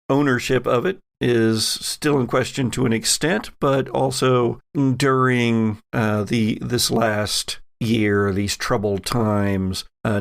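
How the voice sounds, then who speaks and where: A man narrating a tabletop horror game as its game master, in a measured, dramatic storytelling manner. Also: fairly low pitch, creaky, dry recording, clean studio sound. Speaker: game master and podcast host.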